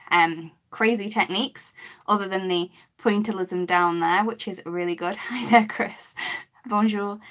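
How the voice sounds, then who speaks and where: A woman, her voice a bit croaky, demonstrating coloring with markers in a chatty live-stream lesson. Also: medium pitch, a bit bright. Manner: chatty, talks while working, greets viewers. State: slightly croaky voice.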